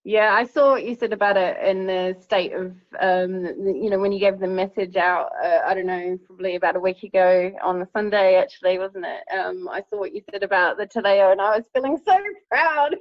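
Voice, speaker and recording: A woman from New Zealand with a New Zealand accent, talking as an interview guest in a casual, hesitant way with many pauses and ums. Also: mid-range voice, fairly even intonation, no room sound, lo-fi sound. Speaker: campaigner guest.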